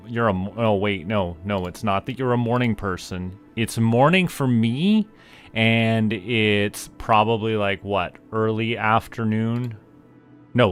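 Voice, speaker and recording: Slow, low-voiced man chatting. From Canada. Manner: rambling, halting, chatty asides to viewers. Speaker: streamer, VTuber host. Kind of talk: casual livestream chat.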